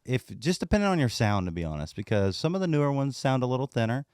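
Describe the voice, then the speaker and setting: A man from Texas with a deep voice, chatting as a guest musician about his gear in a relaxed, easygoing way. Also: quick pace, clean tone, no room sound, clean studio sound. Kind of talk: casual interview chat.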